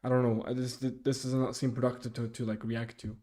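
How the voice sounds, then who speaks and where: A young man streaming live and answering viewer comments in a halting, casual way, with many restarts and fillers. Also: mid-range voice, level tone, dark tone. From Sweden.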